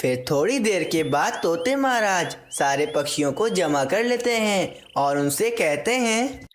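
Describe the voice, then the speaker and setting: A woman's voice: on the low side, lively intonation, measured pace, slight background noise. A woman reading scripted narration for a children's moral story.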